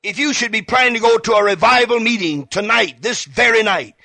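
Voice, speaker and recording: A man's voice: sits high, fairly quick, bright, forceful tone, dry recording. A man preaching a fervent evangelistic radio sermon, emphatic and repetitive, speaking straight to the listener. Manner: emphatic, repeats phrases, addresses the listener directly.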